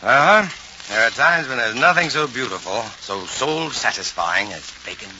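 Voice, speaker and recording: A man playing a calm, brave, practical scientist in a 1950s radio drama, earnest and emphatic in his delivery. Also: mid-range voice, moderate pace, lightly acted. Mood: easygoing, practical. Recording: noisy, dull vintage radio recording from vinyl.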